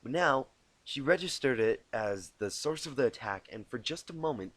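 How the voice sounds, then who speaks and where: A man narrating a storybook tale as an amateur reader, halting and often repeating words he stumbles on. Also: medium pitch, expressive, fairly bright tone, dry recording. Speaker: amateur fan fiction reader. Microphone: inexpensive home microphone.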